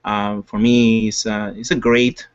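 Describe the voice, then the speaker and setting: A man's voice: lowish voice, little pitch movement, unhurried, clear, resonant tone, dry recording. Peruvian-accented man, dry lo-fi call audio. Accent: Peruvian Spanish. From Peru. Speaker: collector and guest panelist. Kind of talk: casual chat, self-introduction. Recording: dull lo-fi video-call audio.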